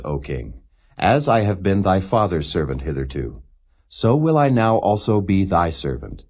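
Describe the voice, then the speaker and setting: A man reads scripture aloud as a narrator, in a measured and solemn manner without dramatizing. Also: deep voice, a little mellow, husky. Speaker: Bible reader.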